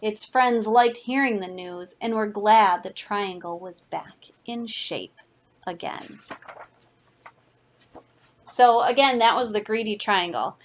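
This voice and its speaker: A woman reading a children's picture book aloud as a teacher, with deliberate, expressive emphasis. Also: mid-range voice. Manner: deliberate, expressive, dramatic emphasis for young listeners. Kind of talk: read-aloud children's storytelling.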